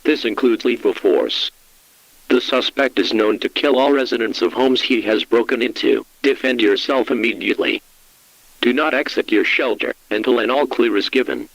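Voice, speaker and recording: A man's voice: mid-range voice, normal speed, gravelly, fairly bright tone, no echo, rough recording. A man voicing an impersonal, solemn emergency alert announcer, reading scripted official notices in a formal, instructional way. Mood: impersonal, urgent.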